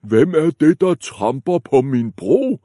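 A man voicing a menacing troll who guards a bridge, shouting his threats. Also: medium pitch, animated, dry recording, clean studio sound. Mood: bellowing.

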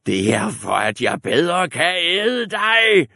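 A man doing a hushed, scheming wolf. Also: low-pitched, lively intonation, vocal fry, breathy edge, dry recording, clean studio sound, exaggerated voice. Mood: menacing. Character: sly hungry male wolf, soft-spoken villain.

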